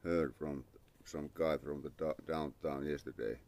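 A young man with a Finnish accent streams from an outdoor yard, talking into a phone held at arm's length. His voice sits very low and gravelly, and he drawls slowly. He rambles, mutters to himself, and calls out thanks to new followers. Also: fairly even intonation, darkish tone. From Finland. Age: young adult. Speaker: live streamer. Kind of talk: casual live stream chat. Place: outdoor yard under a parasol. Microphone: phone camera at arm's length.

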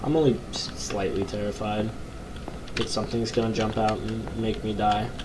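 A young man with a low voice commentating a horror game from his bedroom, joking with mock bravado and reading the game's text aloud. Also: flat delivery, normal speed. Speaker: gaming YouTuber. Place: small bedroom, indoors. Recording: noisy home recording.

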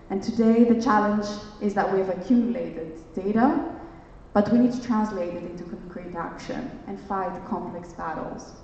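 A woman's voice: medium pitch, gravelly, mellow, slight background noise. A young woman from Greece speaking on a conference stage, earnest and searching for words, often restarting her phrases. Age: young adult. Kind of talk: onstage dialogue, reflective. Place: large hotel conference hall, on stage. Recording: distant, echoey hall, dull sound.

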